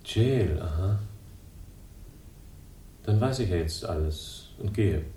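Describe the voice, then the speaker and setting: Bitter male detective, low voice, laconic, sardonic, radio thriller, distant from mic, faint noise. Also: expressive, takes it slowly, dark tone. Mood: wary, restrained. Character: dry, bitter male investigator, quietly hostile. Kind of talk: 1960s German radio crime drama acting and narration. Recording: roomy, distant, faintly rumbling radio recording.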